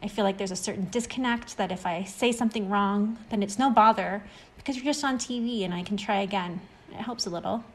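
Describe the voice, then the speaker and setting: Reassuring friend for short-story audio, played by a woman: encouraging, explanatory, mid-pitched. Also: brisk, hardly any pauses, lightly acted. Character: reassuring, talkative friend who overcame extreme anxiety. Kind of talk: acted short-story dialogue reading.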